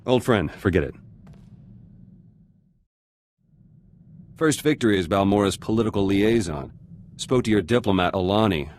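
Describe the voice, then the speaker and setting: A man performs a very deep-voiced, gruff resistance fighter from a video game. He is guarded and cynical, biting off terse, blunt phrases. A faint layer of ambient noise sits behind him. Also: animated, brisk, a little mellow, close to an everyday voice. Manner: clipped, terse fragments, blunt. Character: gruff, guarded revolutionary fighter turned politician, curt and cynical. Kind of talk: video game character dialogue.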